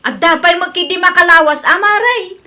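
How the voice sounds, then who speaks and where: Woman acting very high, bright, evasive friend. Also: moderate pace, exaggerated voice. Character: evasive, glib married woman, the unreliable friend. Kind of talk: Ilocano radio drama acting.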